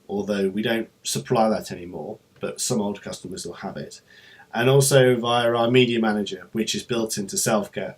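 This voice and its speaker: Low-pitched man giving a rambling explainer. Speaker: company presenter. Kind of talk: informal explainer vlog, unscripted.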